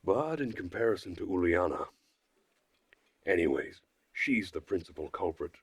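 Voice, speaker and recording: A man with a slightly rough voice narrating a first-person visual novel story in a measured, dry manner. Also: sits low, animated, no echo. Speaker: streamer reading a visual novel aloud.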